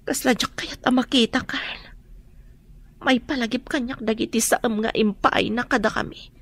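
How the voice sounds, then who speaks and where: A dutiful grown daughter in a radio drama. Her voice is fairly high, troubled and carrying old hurt. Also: steady tone, normal speed, a bit bright.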